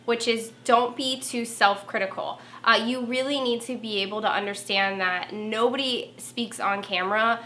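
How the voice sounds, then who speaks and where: A young woman co-hosts a casual tips talk with a nasal voice and a hint of valley-girl lilt. Her tone is bright and a little high. She speaks easily and conversationally. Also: fairly even intonation, moderate pace, few pauses, slight background noise. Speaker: co-host, former TV reporter.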